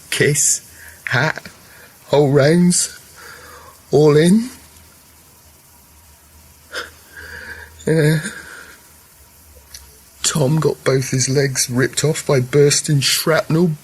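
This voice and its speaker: A man's voice: mid-range voice, husky. A young Great War soldier in a radio drama. He is plain-spoken and reflective, haunted by battle yet wryly joking. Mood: wryly reminiscing. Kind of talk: naturalistic radio play acting.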